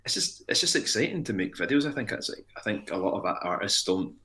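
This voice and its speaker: A young Scottish man, a musician guest in a casual interview, talking in an animated, rambling way with frequent restarts. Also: on the low side, quick pace. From Scotland.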